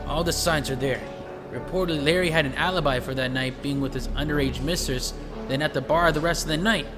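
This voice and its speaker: A man plays a wisecracking police detective with a high voice for a man. He is talkative, sardonic and eager, in an audio drama with long reverb and music underneath. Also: a little fast, close to an everyday voice. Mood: earnest briefing.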